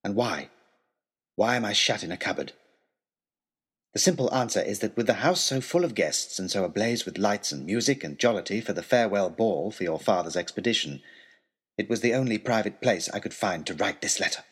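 A man's voice: lowish voice, animated, fairly quick, fairly bright tone, slightly rough. An actor playing a put-upon, wry male tutor, reading his pompous, wordy, aggrieved letter aloud in a comic audio story. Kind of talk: scripted comic narration as a letter read aloud.

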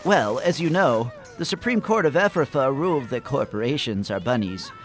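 A man acts a smug rabbit spokesman in a radio comedy. His mid-pitched voice moves around a lot, officious and hedging, always slipping out of responsibility. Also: animated, moderate pace, soft background music, close to an everyday voice. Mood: smugly blithe. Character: evasive, smug male rabbit corporate spokesman. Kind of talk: comic radio play acting.

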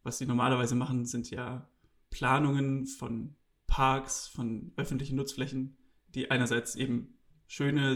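A man from Berlin hosting an interview, asking his guest measured, even questions about planning. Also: mid-range voice. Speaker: podcast host. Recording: roomy echo.

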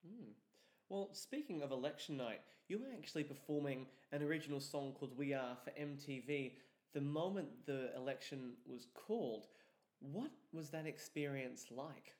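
A man with a medium-pitched voice hosts an interview in a noticeably echoey room sound. He puts his prepared questions politely and responds with appreciation. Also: animated, normal speed, darkish tone. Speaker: interview host.

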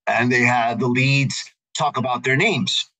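A man from New with a bright, forceful voice recounts his upbringing as a podcast guest. He talks casually, circling back and restarting his phrases as he goes. His pitch sits in a medium range. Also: a little slow, poor audio. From Queens, New York. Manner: storytelling, conversational with restarts. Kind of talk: podcast interview.

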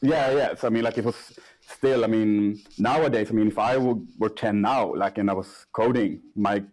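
A young man, a startup CEO, answering interview questions in a casual, halting way with many restarts and fillers. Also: lowish voice, fairly even intonation, a little mellow, rough recording. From Sweden.

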